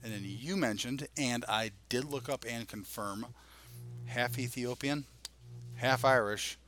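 A man's voice: medium pitch, a little slow. A man in his forties co-hosting a casual chat about screen adaptations, telling it colloquially with plenty of swearing. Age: 40s. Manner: animated storytelling, profane, colloquial. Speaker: podcast co-host.

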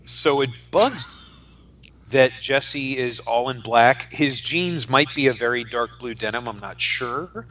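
A man's voice: mid-range voice, takes it slowly, pushed and bright. A man in his forties co-hosting a podcast, chatting casually and emphatically about pop culture, often repeating phrases as he goes. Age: 40s. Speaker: podcast co-host.

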